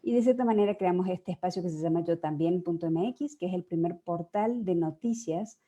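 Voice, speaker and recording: A woman from Argentina, a journalist speaking earnestly over a video call and pausing often on fillers. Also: fairly low pitch, dark tone, muffled recording. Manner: earnest, hesitant with many fillers. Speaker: journalist guest. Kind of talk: personal account on a panel.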